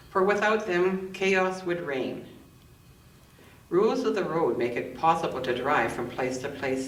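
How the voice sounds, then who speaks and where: A woman reading scripture and scripted commentary in church, with measured, deliberate phrasing. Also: sits low. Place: indoors in a church, roomy acoustic. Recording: roomy, distant microphone, faint noise.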